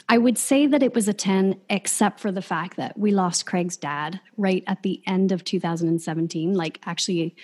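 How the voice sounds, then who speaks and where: Female podcast host in her thirties, slightly low pitch, warm and reflective, sincere conversational delivery. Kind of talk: casual podcast chat.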